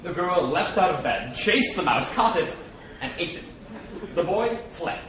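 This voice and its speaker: A man tells stories in a dramatic, very animated read-aloud style. His voice is slightly high. The recording is strongly echoey and distant, with clear noise behind him. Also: measured pace. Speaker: reader at a public read-aloud. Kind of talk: dramatic scripted storytelling. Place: live reading event before an audience. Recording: very echoey live room, distant, noisy.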